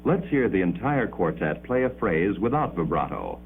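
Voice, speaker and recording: A man delivering formal, measured 1950s educational film narration with precise enunciation, on an old muffled soundtrack. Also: deep voice, sing-song, a little hiss or hum.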